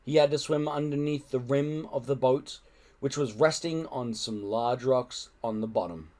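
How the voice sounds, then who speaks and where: A man reading a children's adventure book aloud in an expressive narrating style. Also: medium pitch, measured pace. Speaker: audiobook-style reader.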